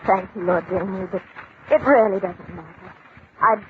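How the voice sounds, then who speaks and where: A woman's voice: mid-range voice, moderate pace, close to an everyday voice. Self-possessed young actress, female performer, frank, courteous, good-humored. Vintage radio play with narrow, noisy sound. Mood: candid. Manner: polite, frank, composed. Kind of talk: old-time radio drama acting. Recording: tinny, noisy old radio recording.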